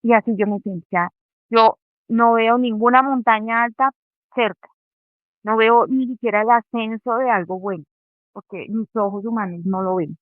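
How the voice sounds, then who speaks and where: A woman's voice: fairly high pitch, fairly even intonation, no room sound. Woman testifying over a tinny call. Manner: earnest, reflective, halting. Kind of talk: personal faith testimony in an online meeting. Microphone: video call. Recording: tinny phone-like call audio.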